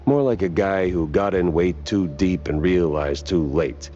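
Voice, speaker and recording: An actor playing a world-weary, wisecracking cartoon private detective with a wry, laconic delivery. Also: male voice, low voice, unhurried, mellow, dull audio, soft background music. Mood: dry, weary. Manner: wry, laconic, hesitant drawl. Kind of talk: 1930s hardboiled detective game acting.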